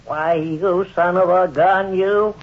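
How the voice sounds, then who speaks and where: An actor in an old-time radio comedy playing an oblivious, cranky old uncle who rambles in a folksy way. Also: male voice, high-pitched, steady tone, slow, noisy background. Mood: absorbed, folksy. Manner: folksy, digressive muttering to himself. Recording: dull, muffled old radio recording.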